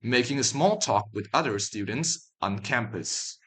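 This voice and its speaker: A man's voice: medium pitch, lively intonation, takes it slowly, fairly bright tone, breathy edge. A man narrating a language lesson as a teacher, reading phrases out for learners to repeat.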